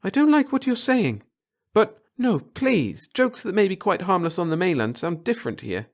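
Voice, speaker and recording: A man's voice: sits high, sing-song, moderate pace, voice pushed up, heavily acted. A man voicing a frightened young woman with an urgent, pleading manner in a story reading. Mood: alarmed, pleading.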